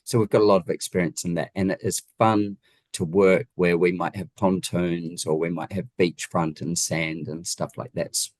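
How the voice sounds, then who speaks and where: Deep-voiced New Zealand man, emphatic talker. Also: fairly even intonation, moderate pace, darkish tone, no room sound. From Wellington region, New Zealand. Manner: emphatic, illustrates points with rhetorical questions. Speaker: guest, home designer. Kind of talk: conversational interview answers.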